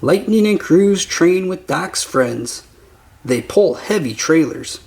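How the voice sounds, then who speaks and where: A man reading a children's picture book aloud as narrator, with simple, deliberate phrasing for young listeners. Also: a little high, animated, vocal fry, darkish tone. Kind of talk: slow scripted children's story narration.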